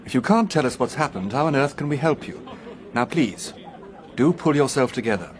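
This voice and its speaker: A stiff English academic in a radio play, played by an actor. He speaks formally and pedantically at a middling pitch with varied intonation, over a murmuring crowd. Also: animated, brisk, close to an everyday voice. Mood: stern, impatient. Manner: formal, impatient. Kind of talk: radio drama acting.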